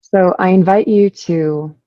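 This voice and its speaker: A woman leading a guided meditation, giving soothing instructions in measured short phrases with pauses between them. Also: on the low side, fairly even intonation, dull audio.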